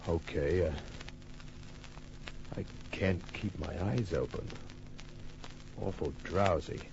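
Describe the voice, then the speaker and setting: A man's voice: deep voice, slow, gravelly, full character voice. An actor playing a wry, hard-boiled private detective who narrates his case in a laconic, cool way in an old-time radio crime drama. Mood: drowsy. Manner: laconic, cool, clipped storytelling. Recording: muffled old radio recording with music.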